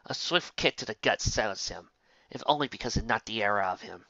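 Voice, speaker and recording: A mid-pitched story narrator with a bright, expressive delivery and wide pitch movement. The recording is dry and slightly dull, with limited bandwidth. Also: brisk, no echo. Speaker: audiobook narrator.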